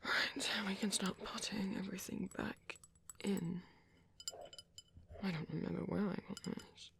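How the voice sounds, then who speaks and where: A man voicing a caring, gentle vampire priest in a whispery, breathy ASMR roleplay, speaking hesitantly and reassuringly. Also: high-pitched, level tone, a little slow, full character voice. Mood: soft, caring. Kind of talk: soft-spoken ASMR roleplay.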